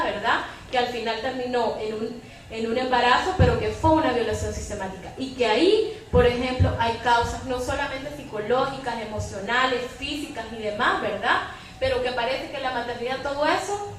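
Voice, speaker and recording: A high-voiced woman argues her view as a panelist at a public debate. She keeps tagging her points with a short question for agreement. The sound is very echoey and somewhat distant, with faint noise. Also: a bit bright. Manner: repeats a questioning tag to seek agreement. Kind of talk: opinionated public debate commentary.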